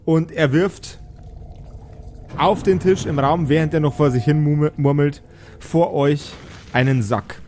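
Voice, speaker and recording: A man narrating an improvised tabletop game as game master, feeling his way haltingly through the story. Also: on the high side, fairly even intonation, a little mellow. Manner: hesitant, halting.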